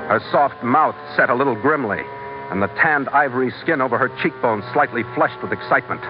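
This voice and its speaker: An actor playing a tough, world-weary American adventurer captain, narrating tersely in vintage radio drama style. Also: male voice, medium pitch, brisk, natural voice. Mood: hardboiled, tense. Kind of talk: 1940s-50s radio adventure acting, hardboiled first-person narration. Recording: dull vintage radio recording with music.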